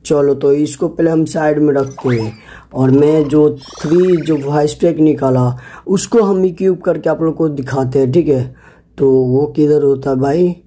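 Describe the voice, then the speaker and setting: A male gaming host with an Indian accent talks casually through his gameplay. His voice sits a little high in pitch yet sounds very dark and mellow. He chats directly to viewers and tends to repeat his phrases. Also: steady tone, unhurried. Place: voice-over on a screen recording.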